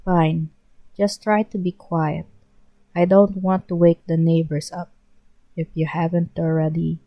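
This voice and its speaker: A woman's voice: lowish voice, flat delivery, a little slow, dry recording. Woman as a cranky, exhausted teenage boy. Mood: exasperated. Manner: irritable, snappish, weary. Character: cranky, sleep-deprived small teenage boy, exasperated. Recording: muffled home recording.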